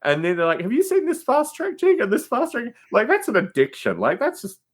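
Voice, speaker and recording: A man's voice: extremely high pitch, huge pitch swings, a little fast, breathy edge. A man chatting casually as a podcast guest, teasing, opinionated and emphatic in how he talks.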